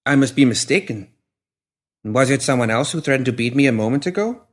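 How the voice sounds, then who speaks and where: A slight, deadly woman as a male narrator plays her speaks in a flat, incurious tone. She is cool, dismissive and quietly menacing, an audiobook assassin who never gets flustered. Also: mid-range voice, brisk, pitched above the performer's own voice, lightly acted. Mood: deadpan, probing.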